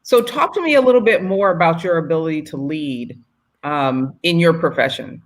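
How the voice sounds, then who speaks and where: A woman's voice: sits low, lively intonation, moderate pace. A woman hosting an interview who asks questions in an encouraging, affirming way. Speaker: host. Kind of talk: conversational interview.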